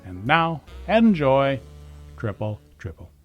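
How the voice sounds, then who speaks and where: A man's voice: mid-range voice, sing-song, takes it slowly, dull audio. A man announcing a radio play in a scripted, presentational style over guitar music.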